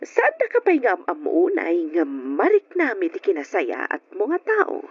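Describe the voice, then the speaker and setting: A woman with an Ilocano accent playing the lady of a household, theatrical and expressive, in old radio melodrama style. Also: lowish voice, a little slow, slightly rough, full character voice. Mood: kindly. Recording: dull old radio recording.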